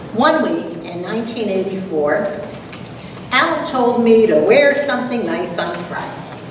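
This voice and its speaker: Older woman storytelling in an echoey room. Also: medium pitch. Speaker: event co-host and dramatic reader. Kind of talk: prepared storytelling to an audience. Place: lecture room, standing at a lectern. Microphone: far camera microphone. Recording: echoey room from far off, noisy.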